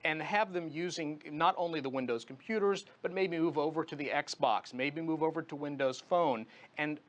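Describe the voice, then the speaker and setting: Guy as a news guest analyst, voice a bit on the high side, explains stuff point by point. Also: moderate pace. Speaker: guest commentator. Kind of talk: live news interview commentary.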